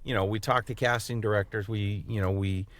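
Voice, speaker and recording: Low-voiced man hosting a casual chat. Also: fairly even intonation, a bit bright, no room sound. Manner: halting, repeats words while forming questions. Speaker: podcast co-host, voice actor.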